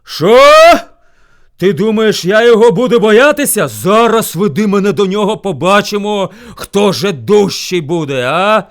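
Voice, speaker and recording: A man's voice: sits high, lively intonation, normal speed, fairly bright tone, pitched above the performer's own voice. A man voicing a furious, hungry bear who bullies and threatens as lord of the forest in a folk tale. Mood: roaring rage. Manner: roaring, threatening, bellowing demands. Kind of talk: animated folk tale storytelling.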